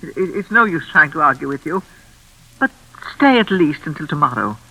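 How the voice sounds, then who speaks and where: An actress playing a menacing, unhinged older mistress of a crumbling hall, honeyed and insistent, in classic radio suspense style. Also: female voice, low-pitched, sing-song, sharp tone, exaggerated voice. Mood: pleading. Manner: theatrical, honeyed and insistent, with deliberate repetition.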